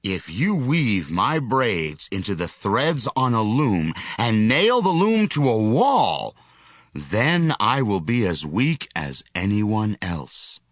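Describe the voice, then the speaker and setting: A strong male hero of an ancient tale. His voice is low and swings widely in pitch. He draws out his words deliberately in a close, dry recording. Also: very animated, dry recording, full character voice. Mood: slow, teasing. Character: strong male biblical hero, slow and teasing. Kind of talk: audio Bible drama acting.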